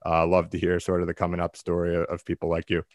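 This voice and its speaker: A man hosting a podcast, reading a sponsor spot and introducing his guest in an earnest, measured way with some hesitations. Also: deep voice, completely flat delivery, no echo, clean studio sound. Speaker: podcast host. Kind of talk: ad read and interview intro.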